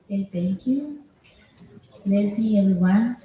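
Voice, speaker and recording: A woman's voice: mid-range voice, takes it slowly, dark tone, a little hiss or hum. A native Spanish-speaking woman with a Spanish accent, giving a public comment speech in short passages as a union member. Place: indoor public meeting hall. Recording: echoey meeting room.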